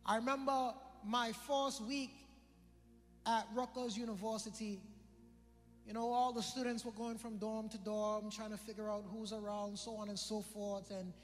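High-voiced preacher in a reverberant hall. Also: male voice, little pitch movement, normal speed. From British Virgin Islands. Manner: conversational, joking, repeats phrases for emphasis. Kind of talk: sermon. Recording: long hall reverb with faint music.

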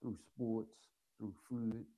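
A Caribbean man hosting an interview in a relaxed chatty way, rambling through his questions. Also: on the low side, slow, rough recording. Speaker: interview host. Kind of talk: casual interview chat.

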